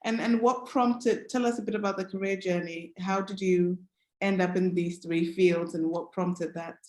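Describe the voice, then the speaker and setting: A woman hosts an interview with bubbly enthusiasm and heaps praise on her guest. Her voice sits a little low for a woman. The recording has a noticeable roomy echo. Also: fairly even intonation, moderate pace, a little mellow. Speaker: interview host. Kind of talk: upbeat interview hosting.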